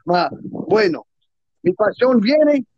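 High-pitched guy, not a native speaker, choppy spanish, dry muffled sound. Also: sing-song, dry recording. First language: not Spanish; Spanish is his second or third language. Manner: broken, halting Spanish with grammar slips, enthusiastic and salesy. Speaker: guest, cigar businessman. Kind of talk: closing remarks in a casual interview.

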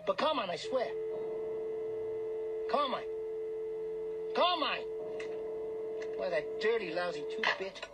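An actor playing a brash, cocky small-time hustler and gambler in a radio drama. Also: male voice, high-pitched, sing-song, slow pace, pauses often, very noisy, heavily acted. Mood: agitated. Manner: wheedling, cocky, streetwise wise-guy talk.

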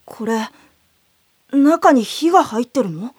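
Woman as little yokai child, high voice, animated swings, wary, naive, blunt, audio drama. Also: slow pace, husky, exaggerated voice. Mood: puzzled curiosity. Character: wary, curious yokai child from the hidden world. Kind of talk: Japanese audio drama acting.

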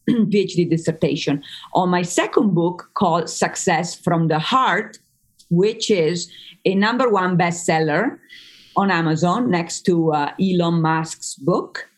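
A woman from northern Italy with an Italian accent, a native Italian speaker, chatting as a psychologist guest on a podcast and often pausing with fillers. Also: lowish voice. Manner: chatty, with frequent fillers and restarts. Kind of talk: podcast interview.

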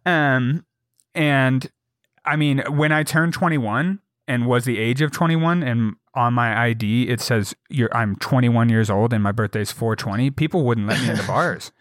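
A young man co-hosting a podcast, telling stories animatedly, with lots of fillers and swearing, and jumping up high to act out quotes. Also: unhurried, no echo, studio quality. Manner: swears freely, many fillers. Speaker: podcast co-host. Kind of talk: casual storytelling chat.

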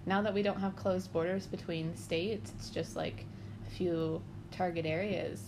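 A woman's voice: lowish voice, fairly even intonation, a little slow. A woman in her twenties chatting casually and upbeat, laughing often. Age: 20s. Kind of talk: casual chat. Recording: noisy background hiss. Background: dog chewing a bone.

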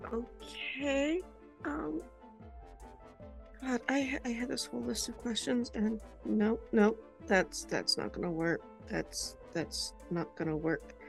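Woman playing nervous high-voiced interviewer. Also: takes it slowly, creaky, faint music behind. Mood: uneasy, flustered. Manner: hesitant, rambling. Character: nervous, flustered female interviewer out of her depth. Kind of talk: improvised in-character audio drama.

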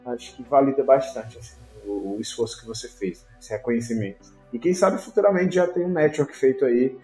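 Mellow-voiced reflective man. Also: medium pitch, fairly quick. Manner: reflective, hedging, trailing off with filler tags. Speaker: podcast guest. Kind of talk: casual chat. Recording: echoey room with faint music.

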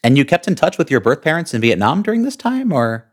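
Animated, enthusiastic male podcast host. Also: on the high side, fast. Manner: enthusiastic, dramatic build-up. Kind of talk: interview hosting.

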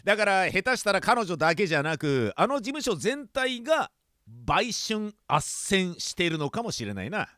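A man's voice: high voice, very animated, moderate pace, pushed and bright, no echo, studio quality, heavily acted. A man playing a cocky, lecherous police detective in an audio drama, sliding between formal politeness and crude banter. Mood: scheming. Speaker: voice actor.

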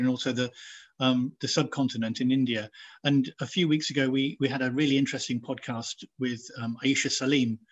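A man from Nigeria hosting a podcast interview, measured and trailing into low asides. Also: a bit bright, breathy edge. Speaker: podcast host.

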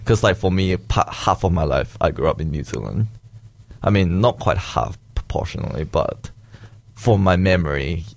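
A young man from Malaysia, Chinese his first language, talks through an interview with plenty of fillers and restarts. His voice sits very low and gravelly, but his pitch moves a lot. It sounds dry and close. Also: expressive, moderate pace, darkish tone, dry recording. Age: young adult. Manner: hesitant, many fillers and restarts. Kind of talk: casual conversation.

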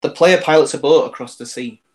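A man's voice: fairly high pitch, brisk, darkish tone. A man co-hosting a podcast, chatting casually and teasing his co-hosts. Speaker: podcast co-host. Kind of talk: casual chat.

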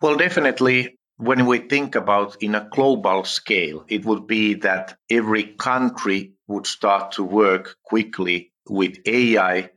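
A man's voice: lowish voice, steady tone, a little slow, few pauses, fairly bright tone. A man with a Finnish accent, answering interview questions earnestly, often searching for words and restarting. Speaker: guest, AI expert and author.

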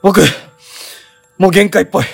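A man's voice: sits high, steady tone, moderate pace, gravelly, husky, soft background music, exaggerated voice. A man playing a mild, naive, resigned young sightseer in a comedic radio drama, plain-spoken and bemused.

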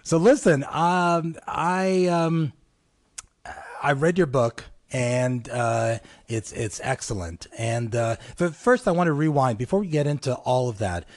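A man's voice: medium pitch, a little slow, no room sound. A man hosting a talk show, chatty and loose, with many fillers and restarts. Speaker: host.

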